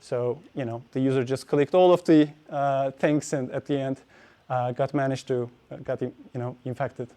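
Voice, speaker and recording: A man's voice: medium pitch, steady tone, moderate pace, mellow. A man giving a technical conference talk, hesitant, with many fillers and restarts. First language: Turkish. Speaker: conference speaker.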